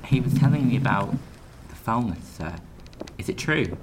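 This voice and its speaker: An actor playing an earnest, curious young sailor in a period radio drama, polite and hesitant. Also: male voice, mid-range voice, lively intonation, normal speed, background noise, lightly acted.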